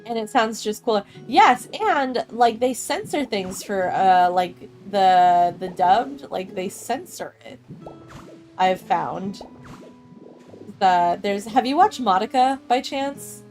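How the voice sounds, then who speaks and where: A woman's voice: medium pitch, animated, slow, darkish tone, soft background music. A young woman streaming a game, chatting casually and rambling with frequent laughs as she answers her chat. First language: English. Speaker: gaming streamer. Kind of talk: casual chat with live chat while playing.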